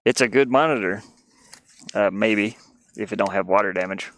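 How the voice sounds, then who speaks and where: A man's voice: lowish voice, moderate pace, a bit bright, dry recording. A man live streaming with casual, rambling chat in a scattered, self-deprecating manner. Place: inside a small cluttered van.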